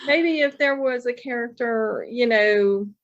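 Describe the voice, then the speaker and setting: A woman's voice: fairly high pitch, a little slow, noisy background. A woman novelist chatting casually and earnestly as an interview guest, on slightly muffled audio. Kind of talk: casual chat. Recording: dull, lo-fi audio.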